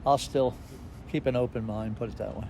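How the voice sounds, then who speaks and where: An elderly man in his eighties gives short, unhurried answers with pauses. He speaks at a middling pitch. Noticeable traffic rumbles behind him outdoors. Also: steady tone, vocal fry. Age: 80s. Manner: slow, unhurried answers with hesitations. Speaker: voter interviewed on the street.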